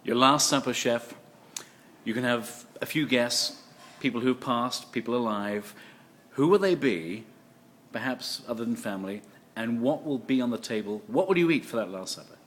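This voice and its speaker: A man's voice: medium pitch, animated, moderate pace. Upbeat male interviewer. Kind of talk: playful scripted interview questions.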